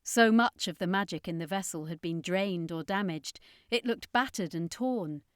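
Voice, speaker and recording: A woman's voice: lowish voice, moderate pace, clean tone, no echo, clean studio sound. A woman reading a scripted adventure story as an audiobook narrator, in a dramatic storytelling style.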